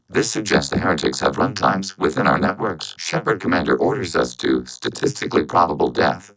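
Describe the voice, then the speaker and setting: An actor voicing a calm, logical synthetic robot soldier for a video game, with clipped formal phrasing and a processed machine voice. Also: male voice, rumbling low, gravelly, heavily acted, low-quality recording. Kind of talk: video game character voice acting.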